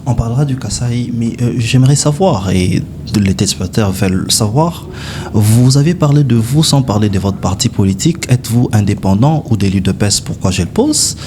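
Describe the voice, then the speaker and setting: A man's voice: medium pitch, expressive, background noise. A man working as a TV journalist, opening the show and asking a candidate questions in a formal presenter's manner. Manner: formal presenter, brisk interjections. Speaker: TV journalist host. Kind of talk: TV interview hosting.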